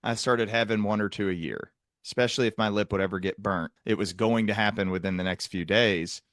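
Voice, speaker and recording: A man hosting a podcast, talking through clinical topics in a halting, thoughtful, conversational way with technical vocabulary. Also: lowish voice, fairly even intonation, fairly bright tone, no room sound, studio quality. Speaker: podcast host, psychiatrist.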